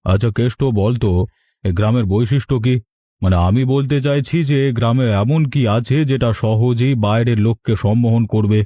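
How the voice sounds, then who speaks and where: A courteous cartoon uncle from the city, voiced by a man, with a dark, mellow, mid-pitched voice. He speaks formally and deliberately, as if quietly weighing people up. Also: no echo, natural voice. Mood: inquiring. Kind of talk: Bengali cartoon voice acting.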